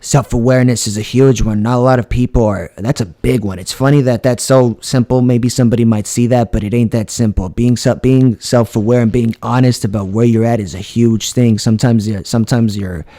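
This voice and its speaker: A man's voice: mid-range voice, fairly even intonation, brisk, hardly any pauses, mellow, dry recording, studio quality. A man hosting a podcast interview in a casual way, affirming his guest and asking open questions. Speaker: podcast host.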